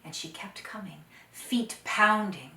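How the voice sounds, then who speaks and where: A woman telling a folktale to children, dramatic, expressive and deliberate, sometimes dropping to hushed phrases. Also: mid-range voice. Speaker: storyteller. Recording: roomy echo, distant microphone.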